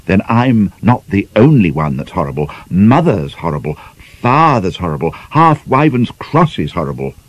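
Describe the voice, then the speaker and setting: A man's voice: deep voice, big pitch swings, moderate pace, few pauses, husky, no room sound, heavily acted, rough recording. A man voicing a mischievous nineteen-year-old young woman who needles her friend with gleeful mockery. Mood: teasing.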